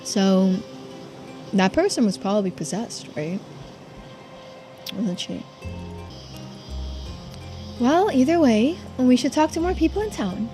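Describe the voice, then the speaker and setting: A woman's voice: on the high side, expressive, takes it slowly, long pauses, warm tone, faint music behind, rough recording. A woman streamer doing a playthrough of a visual novel, reading it aloud and adding her own commentary.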